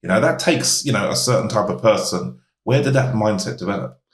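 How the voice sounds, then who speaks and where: Host, man, deep voice, casual questions, echoey room. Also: lively intonation, a little fast. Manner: leans on "you know" while asking. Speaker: podcast host. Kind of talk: interview questions.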